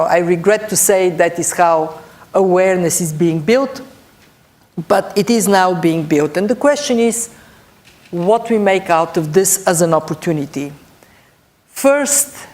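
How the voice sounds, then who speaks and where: A middle-aged woman from Bulgaria, speaking English with a Bulgarian accent. She is a panelist making deliberate points and stressing key words. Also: on the low side, a little mellow. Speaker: panelist, European budget official. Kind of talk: panel discussion remarks.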